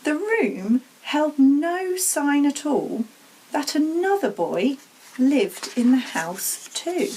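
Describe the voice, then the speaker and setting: A woman reading a children's storybook aloud as an expressive narrator. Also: on the high side, slight background noise, poor audio. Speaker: story reader.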